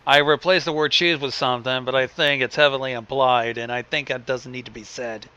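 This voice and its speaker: A man's voice: mid-range voice, steady tone, fairly quick, pushed and bright, smooth, lo-fi sound. A man giving casual, wry, sweary commentary as co-host of a story reading.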